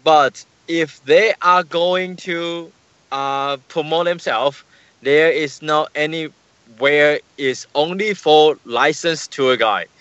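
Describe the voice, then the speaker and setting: Halting man on a muffled call line. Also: a little high, fairly bright tone, smooth, no echo. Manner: halting, searching for words, repeats himself. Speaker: guest, tour business manager. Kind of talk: interview answers.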